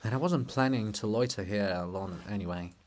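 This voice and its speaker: A man voicing the bronze monument of a weary old poet, measured and dry. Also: fairly low pitch, voice pushed down, lightly acted. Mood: weary, wry.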